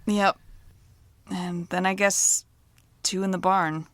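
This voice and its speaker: An actress playing a blunt, wry, businesslike realtor and house flipper in a screenplay reading. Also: female voice, on the low side, steady tone, slow, fairly bright tone, no echo. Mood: brisk, wry. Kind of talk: screenplay table-read acting.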